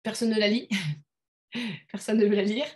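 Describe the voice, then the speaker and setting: A woman runs an online workshop over a video call. She explains in a loose, conversational way, pausing often with fillers and restarting her sentences. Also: mid-range voice, flat delivery, a little mellow. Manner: hesitant, many fillers, conversational. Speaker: workshop leader.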